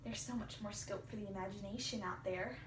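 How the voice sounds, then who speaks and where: A woman's voice: mid-range voice, steady tone, brisk, voice pushed down, natural voice. A woman voicing an effusive, earnest young orphan girl in a gushing, melodramatic story reading. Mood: elated, gushing. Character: dramatic, imaginative red-haired orphan girl, effusive and earnest. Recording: roomy, distant mic, faint hiss.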